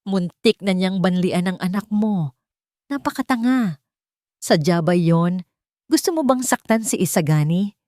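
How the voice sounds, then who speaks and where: A woman voicing a melodramatic, accusing woman who poses as a protective mother, shrill and indignant. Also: animated, measured pace, pauses often, a little mellow, no echo, clean studio sound.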